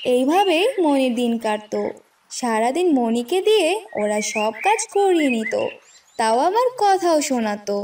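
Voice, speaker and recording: A woman's voice: high voice, big pitch swings, measured pace, far from the mic, background noise. A woman narrating a scripted fairy tale for children as a cartoon storyteller.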